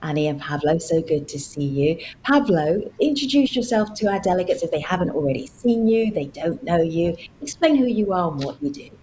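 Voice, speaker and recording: A woman's voice: lowish voice, very animated, brisk, hardly any pauses, warm tone, a little hiss or hum, rough recording. A woman hosting a virtual conference, addressing the audience directly and repeating words for emphasis. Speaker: event host, moderator. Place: virtual event link.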